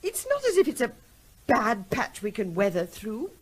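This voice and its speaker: Radio drama wife: earnest, insistent, unhappy, higher register, lively emotional inflection. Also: female voice, normal speed, slightly rough, exaggerated voice. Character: unhappy, frustrated wife pleading with her evasive husband.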